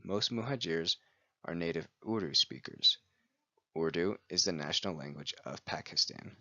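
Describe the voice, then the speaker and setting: Low-voiced man reading a scripted presentation. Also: moderate pace, bright, forceful tone, dry recording, highs cut off. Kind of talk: scripted read-aloud presentation.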